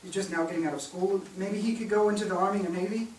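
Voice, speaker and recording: A man's voice: high-pitched, fast talker, darkish tone, natural voice. A man on stage playing a worn-down, stern father who has lost his job and speaks with resignation. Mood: resigned. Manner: hesitant, resigned, turning stern. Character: worn-down, stern laid-off father of a 1930s-style household. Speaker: stage actor. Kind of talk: staged reading of a period family drama. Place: indoor theatre stage, recorded from afar. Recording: roomy theatre, distant mic, hissy background.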